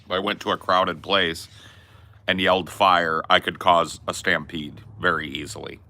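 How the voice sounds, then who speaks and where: A man co-hosting a podcast, telling stories in a rambling, laid-back way with a loud, resonant voice. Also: deep voice, steady tone, moderate pace. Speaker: podcast co-host. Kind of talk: casual chat.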